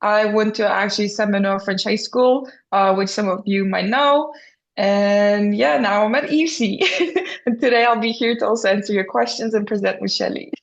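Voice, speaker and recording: A woman's voice: mid-range voice, moderate pace, creaky. Young Turkish woman, slightly dull audio. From Istanbul, Turkey. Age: young adult. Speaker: university student. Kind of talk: self-introduction in a webinar. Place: online video call.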